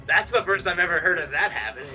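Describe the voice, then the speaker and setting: A man's voice: high voice, animated, quick pace, pushed and bright, faint music behind. A man doing casual speedrun commentary with dry, teasing quips, talking into a desk mic. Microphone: desk mic, a bit farther than forearm's length.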